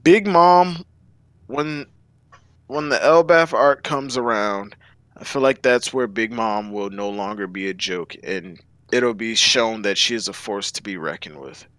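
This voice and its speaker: A man's voice: fairly low pitch, unhurried, a bit bright, no room sound. A man joining a livestream voice chat as a guest, talking casually as a fan in a group discussion.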